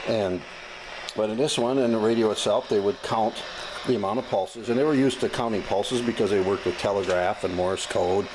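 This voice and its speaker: An American man with a fairly low voice shows off a gadget in a casual, unscripted way. He is folksy and plain-spoken, often halting as he finds his words. Clear noise runs through the amateur recording. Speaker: hobbyist demonstrating a vintage gadget.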